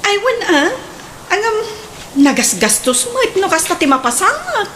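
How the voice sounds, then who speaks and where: Woman acting high, animated Ilocano friend. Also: moderate pace, a little hiss or hum, exaggerated voice. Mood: chatty. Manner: chatty, expressive. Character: lively working bride's friend, chatty, opinionated. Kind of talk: radio drama acting.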